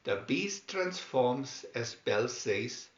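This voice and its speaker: A man reading scripted storybook captions in a deliberate, announcing manner. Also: medium pitch, very animated. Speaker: narrator reading a picture-reel booklet. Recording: dull, roomy sound.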